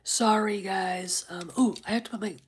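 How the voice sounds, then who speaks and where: A woman's voice: mid-range voice, fairly even intonation, normal speed, a little hiss or hum. A woman hosting a casual livestream, a little stuffed up from congestion, rambling with many fillers and breaking off to greet chat viewers. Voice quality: very husky, often near a whisper. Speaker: livestream host.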